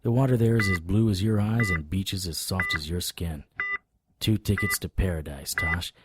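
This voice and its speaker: An actor playing a weary, lovestruck occult investigator who narrates his own case in a wry, measured way for an audio drama. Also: deep voice, heavy background noise, close to an everyday voice. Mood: tender, wry. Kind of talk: first-person noir audio drama narration and dialogue. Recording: music under the voice.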